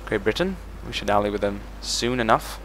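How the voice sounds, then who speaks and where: A man's voice: on the low side, animated, fairly quick, noisy background. A man hosting a let's play, talking through his strategy game moves as he thinks them over. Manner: thinks aloud while managing a strategy game. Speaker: gaming channel host.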